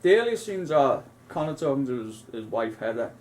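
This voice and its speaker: A man's voice: mid-range voice, very animated, brisk, a little mellow. A man, co-hosting a casual film chat, rambling with many restarts and asides. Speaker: co-host.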